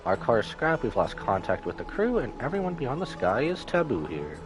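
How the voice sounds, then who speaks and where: A man's voice: mid-range voice, huge pitch swings, brisk. A man live-streaming a story game, reading dialogue aloud and adding casual asides. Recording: music behind the voice.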